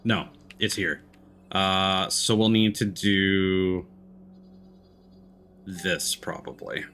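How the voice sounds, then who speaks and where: A man with a fairly low but bright, forward voice, casually commentating on a video game. He thinks aloud as he plays, with faint game noise behind him. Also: extremely slow. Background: game sound.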